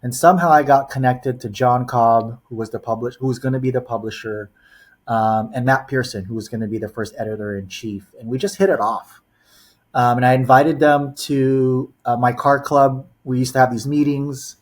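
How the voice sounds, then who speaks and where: A man in his forties tells long stories as a podcast guest. He talks in a loose, wandering way, full of "you know" and "um", at an ordinary mid pitch. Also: normal speed. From born in the Philippines, raised in Los Angeles. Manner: rambling storyteller, heavy on "you know" and "um". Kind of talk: casual podcast interview.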